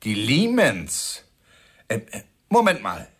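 A man's voice: medium pitch, wildly swooping pitch, takes it slowly, fairly bright tone, slightly rough, heavily acted. A man acting a helpful, fussy small-town post office clerk in a radio play, hesitant and chatty. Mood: obliging, flustered.

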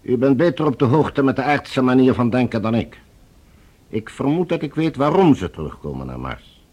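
A man playing a cold, suspicious leader of Mars in a science fiction radio drama, with formal, imperious, measured speech. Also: mid-range voice, big pitch swings, a little mellow, slightly rough. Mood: cold, curt.

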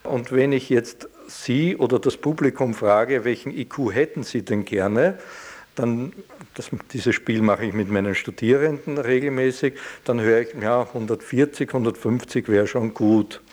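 A man speaks as an academic in an interview. His pitch is average, and he explains in a measured way with formal wording. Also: a little mellow.

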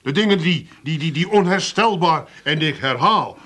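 An actor playing an indignant, slow-witted Amsterdam burglar and family man in a comic radio play, stammering and emphatic. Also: on the high side, lively intonation, pushed and bright. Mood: aggrieved.

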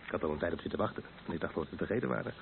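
Radio drama husband: teasing, tender, low voice, tinny old broadcast sound with faint hiss. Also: male voice, rapid-fire, vocal fry. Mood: matter-of-fact. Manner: playful, intimate, expressive. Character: affectionate, teasing husband in a wheelchair, expecting a baby. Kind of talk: Dutch radio play acting. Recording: tinny, band-limited old radio recording, faint noise.

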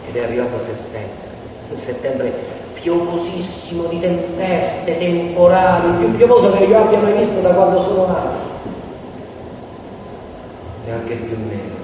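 In a big, noisy, reverberant hall, heard from a distance, an embittered laid-off factory worker. The man declaims a weary theatre monologue with dramatic swings from hushed to loud. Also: on the high side, lively intonation, measured pace, long pauses. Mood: despairing, insistent.

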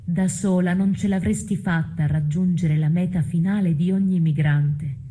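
Dark, breathy, sombre exile. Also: female voice, on the low side, fairly even intonation, moderate pace. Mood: sombre consoling. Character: voice of fellow exiles, consoling, sombre, resigned. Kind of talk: theatrical choral address.

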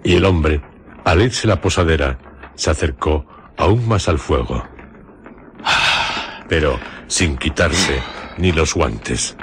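A man narrating a radio drama as a solemn storyteller, in deliberate, carefully separated literary phrases. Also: a bass voice, expressive, noisy background, full character voice. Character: solemn, measured male storyteller.

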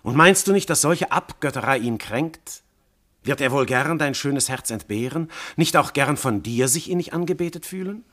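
An actor playing a commanding, probing god disguised as a mortal husband and lover, speaking declamatory classical German verse in a radio play. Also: male voice, medium pitch, expressive, moderate pace, fairly bright tone. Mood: insistent, probing. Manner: impassioned, declamatory, theatrical delivery with wide swells.

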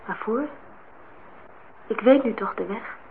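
A woman's voice: medium pitch, takes it slowly, vocal fry. An actress playing a naive, lovestruck young woman in a radio drama, speaking in short, plain, plaintive replies. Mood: subdued, resigned. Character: naive, lovestruck nineteen-year-old young woman, quietly stubborn. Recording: thin phone-like sound, noisy old radio recording.

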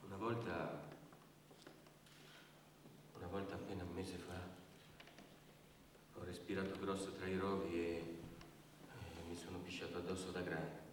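Casting: haunted, guilt-ridden male witness, low voice, halting defensive stage monologue, heard from afar in a big reverberant hall. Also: little pitch movement. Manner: halting, repeats phrases. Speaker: stage actor. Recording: very echoey hall, distant mic, faint noise.

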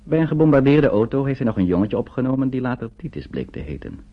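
An actor narrating a radio play as a grave storyteller, in a measured, suspenseful, scripted style. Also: male voice, mid-range voice, sing-song. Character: grave storyteller recounting a wartime flight. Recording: dull old radio recording.

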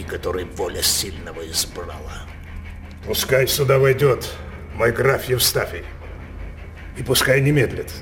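Slow-speaking, theatrical sinful king. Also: lowish voice, a bit bright. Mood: grim resolve. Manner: slow, declamatory, dramatic. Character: decadent aging king, sensual, imperious, drawn to sin. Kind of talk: theatrical radio drama acting in verse.

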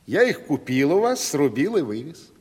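An actor in a theatrical radio play, playing a sly, stubborn provincial timber merchant with a folksy, mock-deferential way of haggling. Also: male voice, fairly high pitch, very animated, moderate pace, slightly rough. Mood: stubborn, smug. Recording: reverberant 1970s radio play studio.

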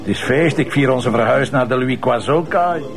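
An actor playing a sardonic, bossy police commissioner with a Flemish accent, in a radio drama with music underneath. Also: male voice, mid-range voice, normal speed, lightly acted. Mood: wry. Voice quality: gravelly, teasing, bossy. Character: gruff sardonic male police commissioner, middle-aged. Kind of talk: Belgian radio/TV drama acting.

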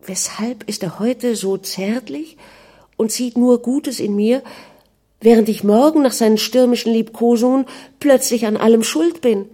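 A woman reading aloud and voicing a young princess who pleads in a high, strained voice, jealous and close to tears. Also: very animated, normal speed, few pauses. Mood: anxious inner doubt.

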